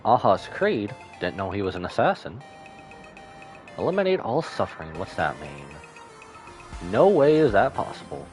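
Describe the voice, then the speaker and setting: A man streams a game with casual, wry commentary. His voice is very deep yet moves around in lively swings, and faint music sits behind his joking remarks. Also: normal speed, pauses often. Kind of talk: casual live commentary with read-aloud dialogue choices.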